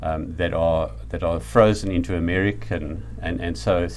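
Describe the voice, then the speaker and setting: A middle-aged or older man with a South African accent, giving a reflective lecture in a deliberate, emphatic manner. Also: low voice, steady tone, a little mellow, slight background noise.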